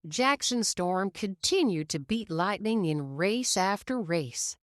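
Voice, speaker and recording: A woman reading a children's picture book aloud in a slow, expressive storytelling manner. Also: medium pitch, dry recording, studio quality.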